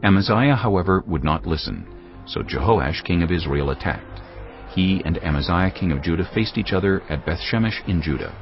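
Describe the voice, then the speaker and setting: A man narrating scripture in a solemn, measured way over music. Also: sits low, animated, highs cut off.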